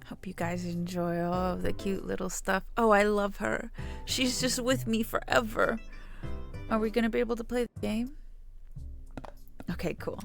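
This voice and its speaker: A woman's voice: medium pitch, level tone, normal speed, vocal fry, long pauses, soft background music. A young woman chatting casually as a game streamer, rambling and swearing now and then; her native language is Samayach. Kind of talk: casual gaming stream chat.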